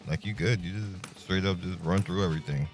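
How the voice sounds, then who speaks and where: A man hosting a gaming video, giving casual review commentary in a laid-back, colloquial, halting way. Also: deep voice. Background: music.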